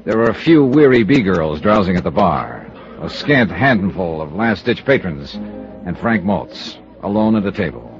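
Hard-boiled radio sleuth, terse and probing, animated low delivery, vintage broadcast sound. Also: male voice, a little slow, faint music behind. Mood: moody narration. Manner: clipped, terse questioning and atmospheric narration. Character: hard-boiled, probing male investigator narrating his case. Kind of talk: old-time American radio detective acting. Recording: muffled old radio broadcast recording.

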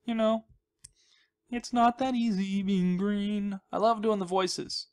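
A man's voice: high voice, steady tone, takes it slowly, a little mellow, clean tone. A man hosting a gaming channel with casual, rambling commentary, playful and goofy, often repeating his words and phrases.

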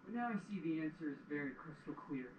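A man's voice: fairly high pitch, expressive, fast talker, lo-fi sound, a little hiss or hum, lightly acted. Man playing a stern big-brother pony, echoey. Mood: cold, accusing. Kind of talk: animated fan audio drama acting. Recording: echoey, distant, music behind.

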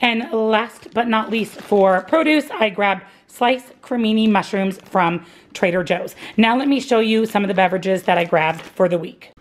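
A woman's voice: medium pitch, normal speed, slight background noise. A woman vlogger, married and working, cheerfully walking through her grocery haul and weekly meal plan, gushing and repeating words for emphasis. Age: adult, married, working. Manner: upbeat, gushing, repeats "love, love, love".

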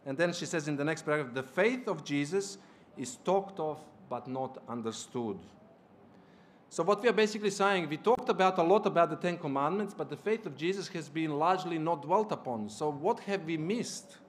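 A man's voice: a little high, lively intonation, normal speed, a little hiss or hum. A man preaching a church sermon in a didactic way, reading passages aloud and checking in with his listeners through short questions. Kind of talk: church sermon with read-out scripture and quotations. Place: small church hall, at a lectern.

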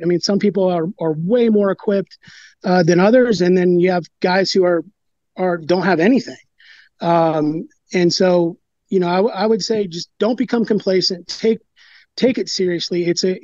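A brewer in his thirties, giving an interview over a video call and talking in a halting way with many fillers and restarts. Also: sits high, darkish tone, no echo. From United States. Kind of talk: casual interview talk. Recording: dry, dull lo-fi video call.

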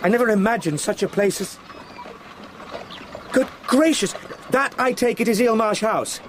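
Man playing an eager, high-voiced young English gentleman. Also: normal speed, close to an everyday voice. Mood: curious, awed. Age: young adult. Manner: formal, polite, hesitant asides. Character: eager, talkative, polite young English solicitor. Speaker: radio drama actor. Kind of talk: radio drama acting. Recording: noisy outdoor sound-effect background.

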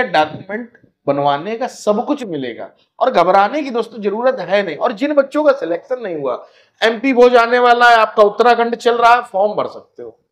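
A man gives an informal update as a channel host, conversationally checking that listeners follow. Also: high voice. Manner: conversational, repeats tag phrases to check understanding. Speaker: coaching channel host, education counsellor. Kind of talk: informal admission update to camera.

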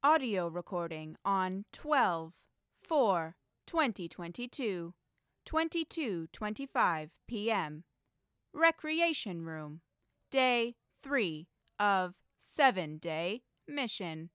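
Woman as spaceship log voice, impassive, formal, slow, dry close sound, audio drama. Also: medium pitch, big pitch swings, a bit bright, clean tone, no room sound.

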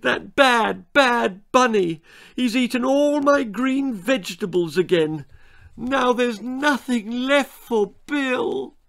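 Man putting on an anxious, high-pitched wailing mother. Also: slow, vocal fry, a bit bright, exaggerated voice. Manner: sighing, plaintive.

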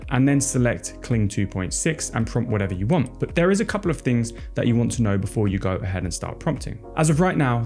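A man's voice: medium pitch, darkish tone. A young man giving a scripted product explainer into a close studio microphone. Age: young adult. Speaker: product video presenter. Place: small home-style studio indoors. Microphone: close desk microphone on boom arm.

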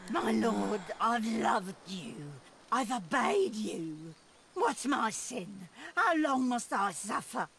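An actress voicing a crazed, fanatical woman mage in a video game, speaking in a thin, rasping voice as she pleads and rages at her god. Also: fairly high pitch, animated, takes it slowly, crowd noise, heavily acted. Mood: anguished pleading. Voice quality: gravelly, rail-thin rasp.

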